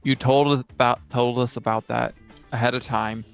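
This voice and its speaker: A man's voice: medium pitch, level tone, moderate pace, creaky, clean tone. A man co-hosting a casual gaming chat, explaining things conversationally as one of the players. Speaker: podcast co-host and player. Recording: slightly dull audio, music behind. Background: music.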